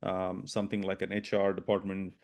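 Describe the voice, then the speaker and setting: Man, low voice, webinar presenter, restarts, right-tags. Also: monotone, vocal fry, a little mellow. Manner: fast-talking with frequent restarts and "right?" tags. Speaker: webinar presenter, product strategy VP.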